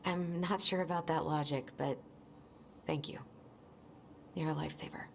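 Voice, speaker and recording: A woman's voice: deep voice, fairly even intonation, moderate pace, gravelly, a little hiss or hum. A woman playing an anxious, weary young American woman in a video game, speaking in a hesitant, subdued way. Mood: tired, grateful. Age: young adult. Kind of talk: naturalistic video game dialogue.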